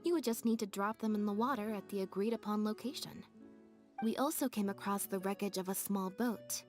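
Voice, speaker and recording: A woman playing a calm, reserved young woman in a video game, with a deadpan, understated delivery over faint music. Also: medium pitch, brisk, lightly acted. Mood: calm, matter-of-fact. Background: soft game music.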